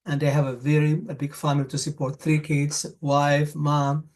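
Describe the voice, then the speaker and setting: A middle-aged man from Morocco, telling his personal story at a conference in an earnest, repetitive, halting way. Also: a little high, level tone, darkish tone. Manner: earnest, repeats himself for emphasis, halting restarts. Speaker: conference speaker. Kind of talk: personal story talk at a summit.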